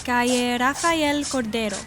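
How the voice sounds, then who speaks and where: A woman's voice: a little high, a little slow, a bit bright, a little hiss or hum. A woman playing an eager, creative young girl in an audio drama, full of ideas.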